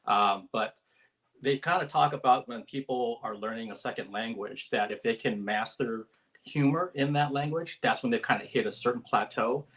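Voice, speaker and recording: A man's voice: mid-range voice, normal speed, fairly bright tone, rough recording. Chatty male interview host. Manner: friendly, chatty, many fillers. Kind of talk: live-stream interview.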